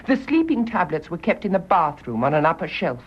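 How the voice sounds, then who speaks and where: British nurse in a radio play, low voice, blunt and accusing, muffled recording. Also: female voice, sing-song, brisk, breathy edge. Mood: firm, defensive. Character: cold, accusing nurse, bluntly revealing secrets. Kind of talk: radio drama acting. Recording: dull, noisy radio drama recording.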